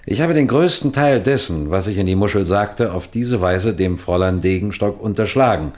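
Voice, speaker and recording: An actor playing a shrewd, self-assured middle-aged detective chief in a 1960s radio drama, explaining things in a measured, knowing way. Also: male voice, on the low side, expressive, lightly acted. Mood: measured, explanatory.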